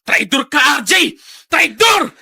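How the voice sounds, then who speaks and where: A man's voice: extremely high pitch, sing-song, slow, vocal fry, bright, forceful tone, shouting, heavily acted. A Filipino actor playing a hot-tempered, bitter young man in a radio drama, brash and emphatic. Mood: furious, accusing.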